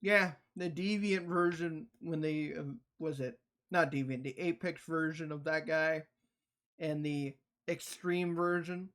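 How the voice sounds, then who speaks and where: A man livestreaming casual reaction commentary, talking in a slangy, profane, animated storytelling way. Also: on the high side, a little slow.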